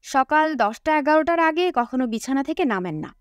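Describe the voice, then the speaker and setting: A woman narrates a novel in a high voice with very lively intonation. The reading is scripted audiobook storytelling, captured dry and close to the mic. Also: normal speed, dry recording.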